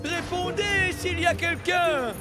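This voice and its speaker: Young cartoon diesel engine: sweet, gullible, worrier. Very high register, unhurried delivery. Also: male voice. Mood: worried. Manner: earnest, exclamatory, easily worried. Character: kind, naive, anxious young diesel locomotive. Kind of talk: animated children's cartoon dub. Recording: music behind the voice.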